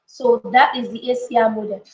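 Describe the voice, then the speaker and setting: Higher-pitched woman coaching in an echoey room. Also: steady tone, a little fast, darkish tone. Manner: emphatic, repeats phrases, checks in with listeners. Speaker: coach and book club leader hosting a live session. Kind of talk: motivational live teaching with informal chat to viewers. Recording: echoey room, slightly dull lo-fi audio.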